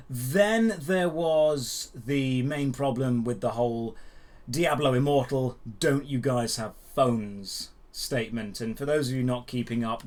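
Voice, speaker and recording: A man hosting a news show, giving opinionated commentary straight to viewers with emphatic phrasing and dramatic pauses. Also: mid-range voice. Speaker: host of a daily gaming news show.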